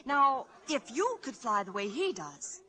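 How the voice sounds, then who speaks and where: Spirited, bright-voiced young lady. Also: high voice, very animated, measured pace, exaggerated voice. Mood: coaxing. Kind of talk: 1940s radio acting.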